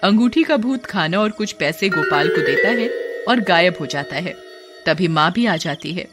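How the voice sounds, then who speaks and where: A woman's voice: on the low side, lively intonation, normal speed, over loud music. A woman working as narrator, reading a scripted children's story.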